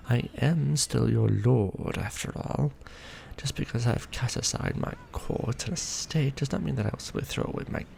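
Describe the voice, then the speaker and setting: Man playing a gravelly, courtly vampire lord. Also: medium pitch, a little mellow, slight background noise. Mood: proud, dignified. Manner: formal, archaic, theatrical, measured phrasing. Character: courtly centuries-old male vampire lord, proud, doting lover. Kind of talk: romantic ASMR roleplay, scripted monologue.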